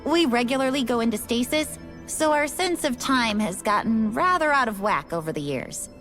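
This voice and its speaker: An actress playing a resigned, matter-of-fact station worker in a video game, explaining things in a casual, unbothered way. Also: female voice, a little high, animated, moderate pace, fairly bright tone, faint music behind. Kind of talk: video game cutscene voice acting.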